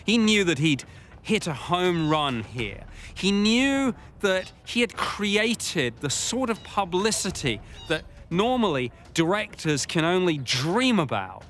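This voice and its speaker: A man's voice: high-pitched, big pitch swings, slow pace, a bit bright, a little hiss or hum. A man giving emphatic, dramatic commentary as a storyteller in a documentary interview.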